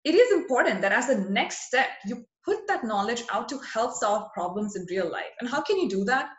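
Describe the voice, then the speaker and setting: A woman's voice: a little high, very animated, a little fast, roomy sound, dull audio. A woman in her thirties from India with an Indian accent, giving an earnest, motivational webinar talk over slides. Her first languages are Hindi, Marwari and Bengali. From India (rural West Bengal). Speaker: webinar presenter.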